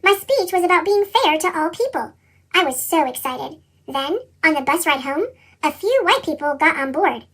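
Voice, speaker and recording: A reader with a squeaky, pitched-up chipmunk effect, narrating a children's picture book and acting out the dialogue expressively. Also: moderate pace. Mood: earnest, determined. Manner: expressive storytelling, acts out dialogue.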